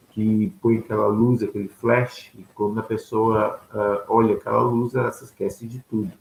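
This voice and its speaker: A man's voice: medium pitch, vocal fry, dark tone. A man giving an explanatory lecture, asking questions aloud and answering them himself, often checking in with his listeners. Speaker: ufology lecturer. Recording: echoey room with faint background noise.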